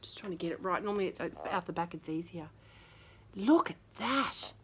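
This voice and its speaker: A woman reading a picture book aloud to young children in an expressive, dramatic way, with casual chatty asides and some hushed delivery. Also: on the low side, moderate pace, breathy edge. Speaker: children's story reader.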